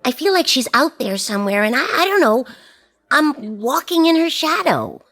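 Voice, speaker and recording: A woman's voice: sits high, big pitch swings, normal speed, a bit bright, heavily acted. A woman playing a wistful, regretful television presenter, confiding and wry, in a comic science fiction radio drama.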